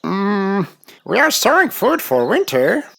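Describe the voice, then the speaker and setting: A woman's voice: mid-range voice, wildly swooping pitch, slow, gravelly, fairly bright tone, faint music behind, exaggerated voice. A woman voicing a small, hardworking, sensible male ant in a children's cartoon, with an earnest, reproachful manner.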